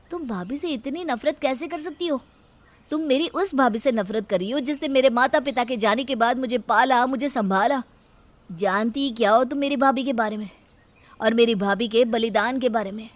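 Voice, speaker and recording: A woman's voice: on the high side, moderate pace, no echo, natural voice. A woman voicing an indignant young husband in a story, fiercely loyal, scolding and emphatic. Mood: angry scolding.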